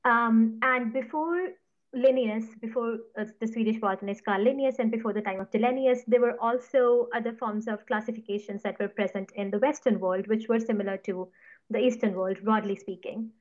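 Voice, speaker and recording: A woman from Punjab whose first language is Punjabi, answering interview questions in a measured, careful way. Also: a little high, fairly even intonation, highs cut off. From Punjab, India. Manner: thoughtful, measured, careful hedging. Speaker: guest, writer on mosses.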